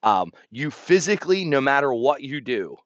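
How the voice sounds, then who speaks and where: A man's voice: medium pitch, sing-song, moderate pace, no room sound, muffled recording. A man in his thirties co-hosting a podcast, rambling and joking with many restarts in casual chat. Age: 30s. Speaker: podcast co-host.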